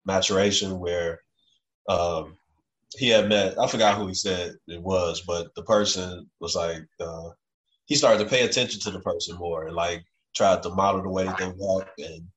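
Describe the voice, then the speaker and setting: Very low-voiced man, casual interviewer. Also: steady tone, a bit bright, poor audio. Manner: halting, with frequent "like" fillers.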